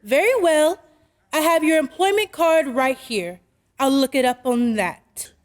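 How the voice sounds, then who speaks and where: An actress playing a brisk, skeptical working mother in an old-time radio drama, speaking firmly and properly. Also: sits high, big-room sound. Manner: firm, deliberate, proper.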